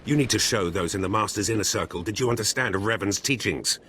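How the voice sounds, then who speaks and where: Stern wary gatekeeper in a sci-fi game, lowish voice, brusque, bit of background noise. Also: male voice, fast, bright, forceful tone. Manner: brusque, authoritative. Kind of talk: video game voice acting.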